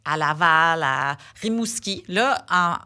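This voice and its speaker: Femme anglophone, français québécois, voix un peu grave, bavarde, hésitations fréquentes. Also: female voice, sits low, lively intonation, slow pace, bright, forceful tone, smooth. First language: English. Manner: chatty, many fillers and restarts. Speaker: sponsor representative guest. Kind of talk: casual podcast conversation.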